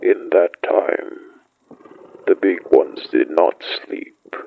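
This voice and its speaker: An ancient great wolf of a horror audio drama, acted by a man, speaks as a solemn storyteller. The voice is very low and full of gravel, moving slowly through poetic, measured phrases. The sound is slightly dull. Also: exaggerated voice. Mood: ominous, awed. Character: ancient great wolf-like beast, solemn pack elder, recalling primeval winters. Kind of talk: scripted dramatic monologue, horror fiction podcast.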